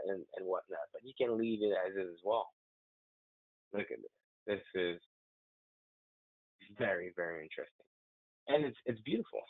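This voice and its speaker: An eager man talks through a software demo in a low voice, excited about what he shows and often stumbling into restarts. The sound is thin and phone-like, with a dry, close feel. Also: no room sound. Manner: enthusiastic, chatty, many restarts. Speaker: software demonstrator. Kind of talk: live software demo walkthrough. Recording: tinny phone-like, dry audio.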